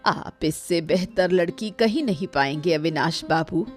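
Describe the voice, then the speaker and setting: A woman's voice: on the low side, measured pace, soft background music, natural voice. A woman voicing a humble village mother in a cartoon story, deferential yet proud of her child. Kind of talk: Hindi cartoon moral story dialogue.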